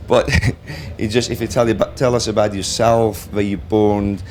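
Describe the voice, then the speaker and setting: Lower-pitched male interviewer, polite and halting, live from a trade fair stand. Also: little pitch movement, darkish tone, a little hiss or hum. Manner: halting, effusively polite, loose phrasing. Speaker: interviewer for a brand's web TV.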